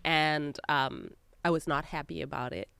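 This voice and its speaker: A woman's voice: low voice, fairly even intonation, moderate pace, gravelly, bright, forceful tone, smooth, dry recording. A young adult woman from Zimbabwe, a guest in a casual interview, telling stories with frequent laughter. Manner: laughs often, lighthearted storytelling. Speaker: guest, magazine editor.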